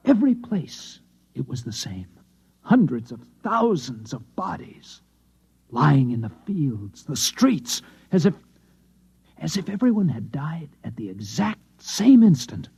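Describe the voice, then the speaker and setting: An actor playing a dismal, shaken Martian man, halting and earnest, in an old-time radio drama. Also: fairly high pitch, very animated, darkish tone, slightly rough, heavily acted. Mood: haunted, distressed. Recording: dull old radio recording.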